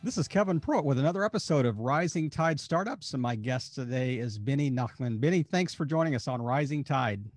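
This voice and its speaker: A man hosting an interview podcast, friendly and casual, with quick affirmations and laughs. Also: mid-range voice, animated, dry recording. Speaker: podcast host.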